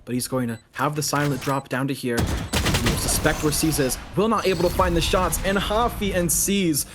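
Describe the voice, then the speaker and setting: A man giving live play-by-play commentary on a video game match, excitable on big plays and analytical between them. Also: on the high side, moderate pace, noisy background.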